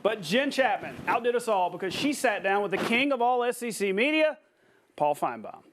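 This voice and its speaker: A man's voice: sits high, lively intonation, fairly quick, a bit bright, a little hiss or hum. An American man anchoring a sports broadcast, introducing segments in polished TV style.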